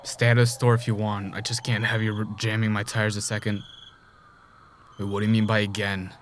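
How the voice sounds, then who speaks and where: Casting: male actor as threatening, agitated robber, lowish voice, audio drama, faint noisy ambience. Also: level tone, fairly quick, natural voice. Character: menacing, possessive male robber.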